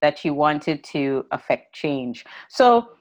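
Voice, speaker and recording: A woman hosts the interview and encourages her guest with brief affirmations and clarifying questions. Her voice is low for a woman. Her pitch moves in a lively, animated way. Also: slow pace. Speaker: interview host. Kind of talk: interview hosting.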